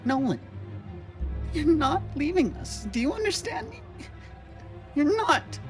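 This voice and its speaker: A woman playing a fiercely protective, defiant wife and mother in an audio drama, speaking forcefully. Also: sits high, very animated, takes it slowly, over music, heavily acted. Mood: pleading insistence. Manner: forceful, emphatic.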